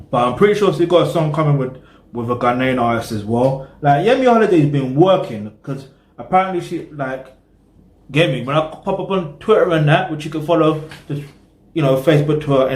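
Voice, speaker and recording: A man's voice: medium pitch, expressive, a little fast, darkish tone, echoey room. A British man, casually chatting through a music reaction video and rambling with lots of "you know what I mean". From Britain.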